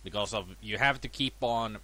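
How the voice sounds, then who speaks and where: A man with a cold and a slightly worn voice, casually commenting on his own boxing gameplay in a self-deprecating way. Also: moderate pace. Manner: chatty, self-deprecating, lively swings in pitch. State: has a cold, voice a bit worn. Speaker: let's play gamer.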